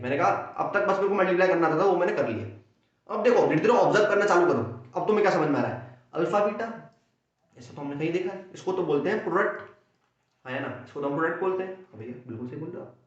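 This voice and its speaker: A young man from India teaching a maths exam-prep lesson with a sore throat, energetic and playing both himself and his students. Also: a little high. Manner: energetic, acts out students' questions and answers himself. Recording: echoey room, dull lo-fi sound.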